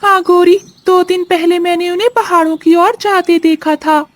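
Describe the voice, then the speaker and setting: A woman voicing a small, talkative parrot in a children's cartoon, with a squeaky, earnest delivery. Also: fairly even intonation, normal speed. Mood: concerned. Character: small talkative parrot bringing grave news. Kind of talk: Hindi children's cartoon voice acting.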